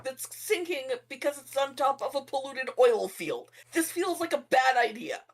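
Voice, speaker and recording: A woman's voice: high-pitched, very animated, normal speed, slightly rough. A woman talking casually as a player in a tabletop roleplaying game. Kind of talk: casual group chat during a game.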